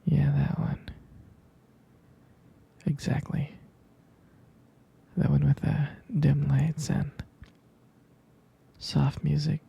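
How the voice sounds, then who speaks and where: A man playing a jealous, devoted young boyfriend in an intimate ASMR roleplay, speaking in a hesitant, tender, breathy half-whisper. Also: fairly high pitch, completely flat delivery, very slow, long pauses, warm tone, heavily acted. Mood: tender, nostalgic.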